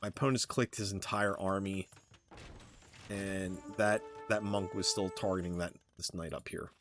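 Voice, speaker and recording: Low-voiced man commentating a strategy game. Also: fairly even intonation. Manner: halting, thinking aloud. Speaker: gamer commentating own match. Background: background music.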